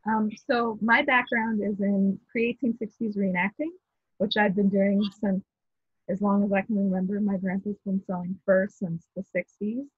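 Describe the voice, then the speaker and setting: Husky woman on a tinny video call. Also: medium pitch, flat delivery, normal speed, no room sound. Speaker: guest, shop co-owner. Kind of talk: casual video call interview. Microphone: shared video call. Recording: tinny phone-like call audio.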